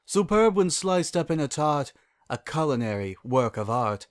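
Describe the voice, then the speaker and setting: A man's voice: fairly high pitch, big pitch swings, moderate pace, no echo. Man reciting poetry, very dry sound. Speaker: narrator.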